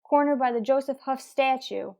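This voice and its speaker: An actress playing a tough, dry police detective in a radio crime drama, speaking in a terse, hard-boiled manner. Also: female voice, high voice, normal speed, noisy background. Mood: urgent, clipped.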